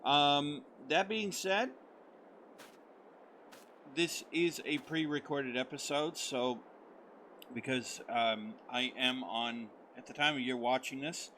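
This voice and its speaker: A middle-aged man from the United States, hoarse as he recovers his voice, rambling in friendly casual commentary over a farming game. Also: medium pitch, takes it slowly, bright, forceful tone, slight background noise, rough recording. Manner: friendly, rambling, many fillers. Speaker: gaming channel host. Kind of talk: casual let's-play commentary.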